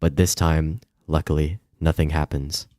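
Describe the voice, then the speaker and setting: Deep-voiced man narrating a mystery story. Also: level tone, a little slow, mellow. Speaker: streamer reading a visual novel aloud. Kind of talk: dramatic scripted narration.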